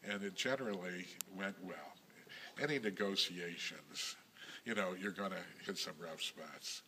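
An older man, a synagogue president, talking in a measured, halting way with frequent pauses and self-corrections. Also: low voice, pushed and bright, a little hiss or hum. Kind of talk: recorded interview reminiscence.

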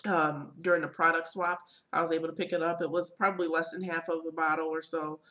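Soft-spoken woman chatting to her webcam. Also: deep voice, fast talker. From Michigan. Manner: relaxed, chatty, many ums and uhs. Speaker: vlogger. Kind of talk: casual product haul and event recap. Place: small home room, indoors. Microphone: webcam at desk.

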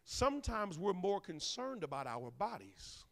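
Man in his forties, pulpit preacher on a handheld mic, fairly high voice, booming then hushed, ribbing the pews, long church-hall echo. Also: measured pace. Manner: animated preaching, bursts loud then drops soft, teasing the congregation. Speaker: minister. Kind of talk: sermon. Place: large church sanctuary.

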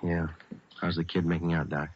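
A man's voice: low-pitched, little pitch movement, moderate pace, close to an everyday voice. A man playing a terse, matter-of-fact police detective in an old radio drama, with clipped, understated delivery. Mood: probing, calm. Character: terse, dry male police detective sergeant. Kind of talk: 1940s-50s radio drama acting and narration. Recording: muffled, noisy old radio recording.

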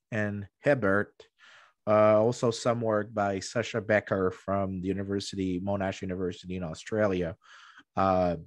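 Low-voiced man, emphatic and halting. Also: no room sound. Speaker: podcast guest, economic historian. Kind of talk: conversational interview argument. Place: small room at home, video call. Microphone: close desktop microphone.

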